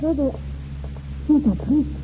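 A woman's voice: high voice, measured pace, noisy background. An actress playing a devoted, pleading young woman in love, earnest and emotional, in a 1940s radio drama. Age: young adult. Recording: dull 1940s radio broadcast, music underneath.

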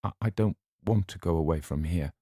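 A man's voice: sits low, a little fast, dark tone, breathy edge, no room sound, studio quality. A man voicing an earnest, fragile young woman who stammers and pleads, in an audiobook reading. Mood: pleading.

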